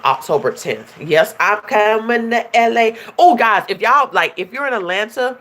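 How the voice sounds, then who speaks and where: A woman talks straight to her livestream viewers in a chatty, animated way. Her voice is stuffy and nasal from a runny nose, with a slight hoarseness underneath. She keeps it loose and conversational, like a coach catching up with her followers. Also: fairly low pitch. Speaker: life coach hosting a livestream. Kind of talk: casual livestream chat.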